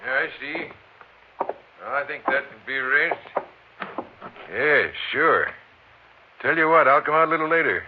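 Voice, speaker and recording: An actor playing a folksy small-town motel owner in a 1950s radio western, with a rustic, somewhat hesitant way of talking. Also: male voice, a little high, animated, unhurried, long pauses. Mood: affable. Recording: hissy, muffled old 1950s radio recording.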